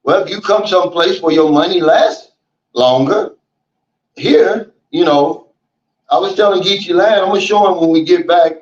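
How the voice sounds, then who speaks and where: A man hosts a casual livestream and reads viewer comments aloud. He talks in a relaxed, folksy way, with a slightly high voice. The sound is roomy, dull and lo-fi. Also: normal speed. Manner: relaxed, folksy, often says "man" and "you know". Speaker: livestream host.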